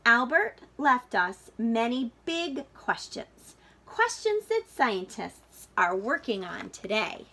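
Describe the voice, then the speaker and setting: A woman's voice: a little high, bright, forceful tone. A woman reading a picture book aloud to children like a teacher, expressively, drawing out key words for emphasis. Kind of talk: read-aloud storytelling for children.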